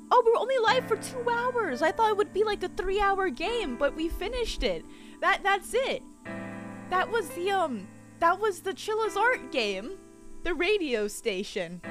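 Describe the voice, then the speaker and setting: A young woman chatting casually on a livestream as she plays a horror game at home, speaking into a close mic. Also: very high voice. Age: young adult. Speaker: game streamer. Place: small room at home, indoors. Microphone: boom-arm microphone close to the mouth, with headset.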